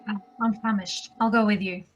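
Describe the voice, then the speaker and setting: A woman's voice: medium pitch, fairly even intonation, moderate pace, highs cut off, a little hiss or hum, close to an everyday voice. A woman at a table read playing a practical, bossy woman who takes charge. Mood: relieved.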